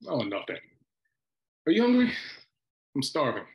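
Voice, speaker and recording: Man acting evasive husband, lively swinging pitch. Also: measured pace, highs cut off, full character voice. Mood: evasive, upbeat. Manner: hesitant, deflecting, playful. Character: evasive, hungry husband in his forties. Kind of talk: screenplay table read. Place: indoor room on a video call. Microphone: video call microphone.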